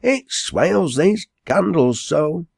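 A man's voice: on the high side, very animated, slow pace, vocal fry, breathy edge, dry recording, studio quality, pitched above the performer's own voice, heavily acted. A man voicing a bossy, practical country inn landlady in a storybook, giving orders in broad Lancashire dialect. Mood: fussing.

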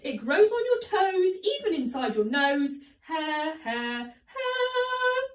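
A woman's voice: very high voice, sing-song, slow, pitched above the performer's own voice, heavily acted. A woman voicing a cheerful but easily flustered barber man from a children's storybook, with a dramatic manner. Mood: singing cheerfully. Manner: dramatic, stammering when scared. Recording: roomy echo, distant microphone.

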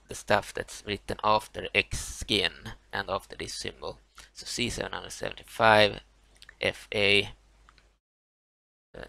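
Low, gravelly Swedish man. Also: pushed and bright, no room sound. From Sweden. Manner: halting, many fillers and restarts. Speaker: tutorial maker. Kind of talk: screen-recorded software walkthrough.

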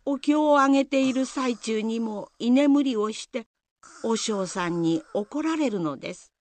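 A woman's voice: fairly high pitch, unhurried. A woman narrator reading a scripted Japanese folktale in the style of a radio drama. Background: music.

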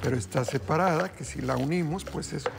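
A man's voice: a little high, a little fast, gravelly, heavy background noise. A middle-aged man hosting a TV cooking show, talking viewers through a recipe in upbeat, chatty patter and calling them family. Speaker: TV chef host. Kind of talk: live cooking demonstration. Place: TV studio kitchen. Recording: background music under the voice.

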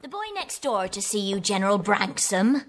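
A woman playing a kindly, brisk housekeeper in a children's audio drama. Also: medium pitch, very animated.

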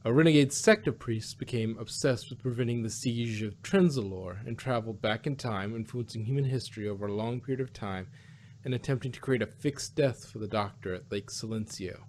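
A man talks casually as a panelist on an audio review show. His pitch is middling and his delivery halts and wanders. Faint background noise sits under his voice. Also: a little fast, darkish tone.

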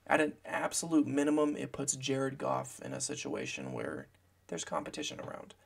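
A young man with a gravelly voice, hoarse from a cold, giving casual football draft commentary from a small room at home, rambling with lots of fillers. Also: medium pitch, lively intonation, moderate pace. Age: young adult. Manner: meandering, filler-heavy, self-correcting.

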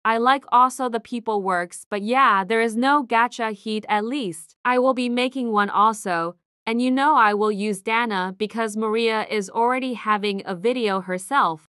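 A woman's voice: fairly high pitch, moderate pace, few pauses, bright, forceful tone, no room sound, clean studio sound. A woman video creator talking in a casual narration. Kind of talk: casual vlog-style narration.

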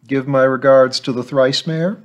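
A man voicing a cheerful, quick-witted adult male agent, slightly brighter than his narrating voice. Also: medium pitch, steady tone, dull audio, voice pushed up, close to an everyday voice. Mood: breezy. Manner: cheerful, breezy. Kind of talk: audiobook character voice.

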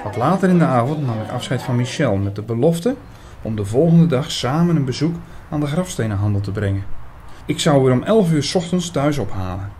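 A man playing an earnest older priest who narrates a radio drama in a measured way, with dramatic pauses. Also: medium pitch, expressive, darkish tone, natural voice. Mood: calm. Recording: busy chatter behind the voice. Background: busy restaurant crowd.

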